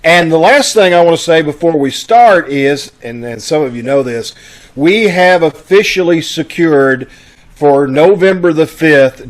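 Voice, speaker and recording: Male host, slightly high voice, folksy and informal, community announcements over video call. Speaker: host of an amateur radio theater troupe. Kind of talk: informal announcements to an online audience.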